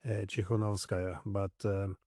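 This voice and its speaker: A man hosting a conversational political interview, phrasing his points haltingly as he builds towards a question. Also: on the low side, little pitch movement, mellow, no room sound, studio quality. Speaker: interview host.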